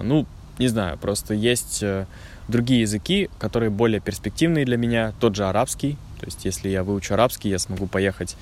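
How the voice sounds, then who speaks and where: Guy vlogging out in the woods, lowish voice, slow clear explaining. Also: slight background noise. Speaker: language teacher vlogger. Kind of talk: casual vlog talk to learners. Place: outdoors in a forest.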